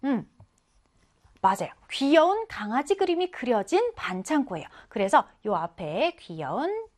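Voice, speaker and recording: A woman with a high voice teaches a reading lesson for children. Her pitch swings widely as she explains, coaxing her young pupils along with questions and answering them herself. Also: sing-song, a little slow.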